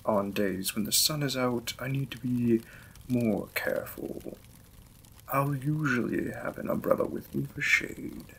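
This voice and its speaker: Slow-spoken, courteous Victorian vampire lord, acted by a man. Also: medium pitch, fairly bright tone, slight background noise, low-quality recording. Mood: calm, reassuring. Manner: formal, polite, unhurried phrasing. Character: courteous, reserved Victorian vampire lord, gentle new husband. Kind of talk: romantic period roleplay audio drama.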